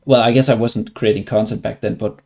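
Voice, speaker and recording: A man's voice: on the low side, steady tone, brisk, creaky, a little mellow. Danish-accented man, casual game streamer. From Denmark. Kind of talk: casual livestream commentary over gameplay.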